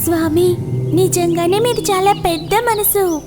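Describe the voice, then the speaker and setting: Very high-pitched respectful small rat. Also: female voice, unhurried. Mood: deferential. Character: small rat, cautious yet respectful and gullible. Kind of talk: children's cartoon dialogue. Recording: clear music behind.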